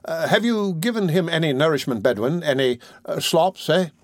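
A man voicing a warm-hearted, flustered old gentleman, hoarse and gruff, halting and clearing his throat. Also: on the high side, lively intonation, no echo, voice pushed up, lightly acted. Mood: gruff, tender.

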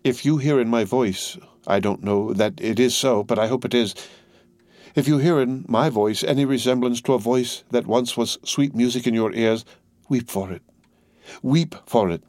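A man reading aloud, voicing a young woman who gently and earnestly pleads with her father. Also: mid-range voice, normal speed, dry recording, natural voice. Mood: pleading. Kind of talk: audiobook character voice.

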